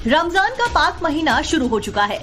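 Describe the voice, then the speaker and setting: High-voiced woman reading news voice-over. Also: very animated, moderate pace. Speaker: news reporter. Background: background music.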